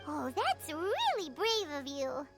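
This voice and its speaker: A woman's voice: high-pitched, wildly swooping pitch, takes it slowly, heavily acted. A woman voicing a small, childlike fairy companion girl in an anime video game, curious, naive and exclamatory. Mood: excited, curious. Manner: refers to herself in third person, exclamatory. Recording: music behind the voice.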